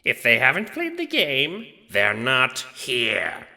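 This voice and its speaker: Audio drama role: big dim lug of a death god played by a man, pitched up, swooping, bitter and crude, heavy reverb. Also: wildly swooping pitch, takes it slowly, creaky, sharp tone, exaggerated voice. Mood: irritated, blunt. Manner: blunt, slangy, casually profane.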